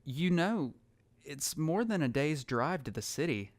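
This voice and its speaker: A man's voice: on the high side, animated, a little slow, no echo. A man playing a lonely, haunted survivor, narrating his story in a somber, reflective way for an audio drama. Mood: thoughtful. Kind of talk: scripted first-person audio drama narration. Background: music.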